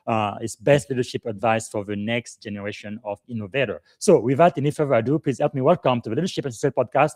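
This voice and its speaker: A man's voice: mid-range voice, a little mellow. A man hosting a live leadership podcast, giving long formal introductions broken up by frequent "uh" fillers. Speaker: podcast host and leadership coach.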